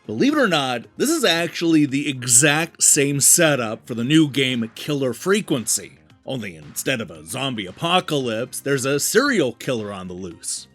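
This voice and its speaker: A man's voice: medium pitch, big pitch swings, normal speed, hardly any pauses, fairly bright tone. A man reading a scripted video game review as narration, with an emphatic, polished presenter's delivery. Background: background music.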